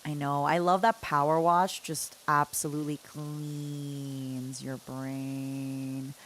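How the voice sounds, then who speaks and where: A woman's voice: sits low, level tone, slow pace, a little hiss or hum, rough recording. A woman livestreamer chatting casually as she games, rambling and playful as she talks to her viewers. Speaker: livestreamer, host. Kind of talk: casual chat while gaming, answering chat.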